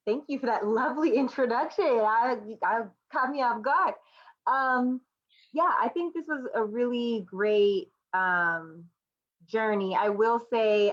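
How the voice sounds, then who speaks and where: A woman's voice: medium pitch, a bit bright, muffled recording. A woman reflecting casually and off the cuff as a panelist, informal and enthusiastic. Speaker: artist and educator, panelist.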